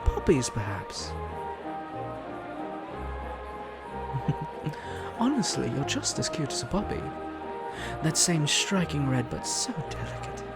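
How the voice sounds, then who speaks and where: An actor playing a suave, smug, flirtatious prince in a romantic roleplay audio drama, teasing and haughty with a sinister edge. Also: male voice, a little high, big pitch swings, a little slow, vocal fry, heavily acted. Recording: music under the voice.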